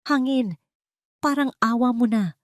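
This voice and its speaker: A woman's voice: fairly high pitch, a little slow, no echo, studio quality, pitched above the performer's own voice, lightly acted. A woman voicing a desperate but steely mother in an audio drama, pleading with control.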